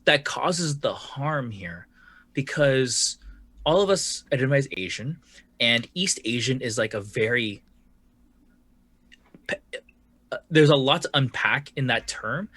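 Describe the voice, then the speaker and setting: A man's voice: medium pitch, a bit bright, husky, dry recording. A man co-hosting a podcast, making emphatic critical points, sometimes cursing for emphasis. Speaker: podcast co-host. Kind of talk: casual panel discussion.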